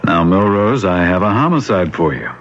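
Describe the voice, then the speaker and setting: A man's voice: sits low, very animated, moderate pace. An actor playing a patronizing police captain in a radio drama, condescending and falsely jovial toward his detective. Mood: patronizing, amused. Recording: dull vintage radio recording.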